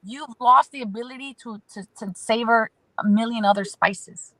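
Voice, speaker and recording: A woman with a bright, slightly high voice offers spiritual guidance as an astrology guest. She speaks emphatically and repeats phrases in rhythmic lists, heard close and dry. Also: normal speed, no echo, poor audio. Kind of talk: spiritual advice in conversation.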